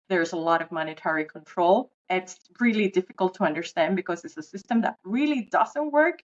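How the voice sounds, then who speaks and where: A woman in her thirties, from Venezuela, co-hosts a podcast in a relaxed, explanatory way. Her voice sits at a middle pitch. The recording sounds a little dull and lo-fi. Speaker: podcast co-host. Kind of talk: casual podcast chat.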